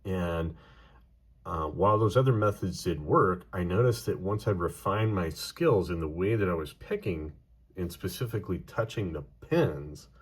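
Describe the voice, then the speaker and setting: A deep-voiced, middle-aged man talks casually through a hands-on tutorial, his voice a touch raspy from allergies. He rambles and often corrects himself mid-sentence, filling the pauses with "uh" and "um.". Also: expressive. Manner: rambling, many fillers and self-corrections. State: slightly hoarse from allergies. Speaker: lock picking video host. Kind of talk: casual tutorial talk to camera.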